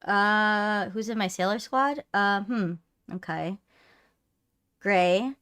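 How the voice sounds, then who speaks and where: A woman's voice: medium pitch, slow, a bit bright, clear, resonant tone. A woman streamer in casual, playful banter with a friend on a livestream.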